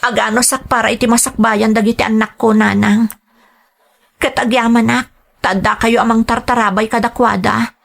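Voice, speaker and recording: Woman playing earnest, dutiful mother, higher-pitched voice. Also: steady tone, moderate pace, creaky. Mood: earnest, pleading. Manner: earnest, respectful. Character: earnest migrant-worker mother, dutiful and determined. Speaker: voice actor. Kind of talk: Ilocano audio drama acting.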